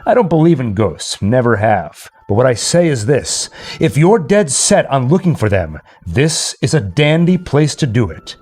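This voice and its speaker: A man's voice: mid-range voice, sing-song, moderate pace, a little mellow, breathy edge. A man playing a jaunty, skeptical radio DJ who narrates a haunted house visit in a chatty, wry radio-play style. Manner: chatty, wry, addressing the listeners.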